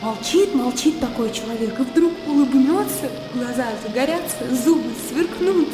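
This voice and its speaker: High-pitched spirited young gentlewoman, acted by a woman, echoing. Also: normal speed, natural voice. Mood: excited. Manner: lively, impulsive, mocking. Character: spirited, blunt, teasing young country gentlewoman. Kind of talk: Russian radio drama acting. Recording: echoey studio reverb, music underneath.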